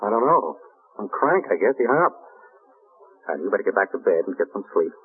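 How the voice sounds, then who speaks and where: Wry, urbane radio detective, lively intonation. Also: male voice, fairly low pitch, a little fast. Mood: wry, weary. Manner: dry, clipped, wry. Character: dry, unflappable urbane detective husband, reluctant, wry. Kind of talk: 1930s-40s radio drama acting. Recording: noisy, muffled old radio broadcast.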